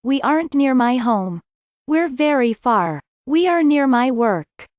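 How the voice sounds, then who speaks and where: A woman reading scripted English lesson dialogue, slowly and clearly, in carefully spaced sentences. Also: high-pitched, very animated, a little mellow, no echo.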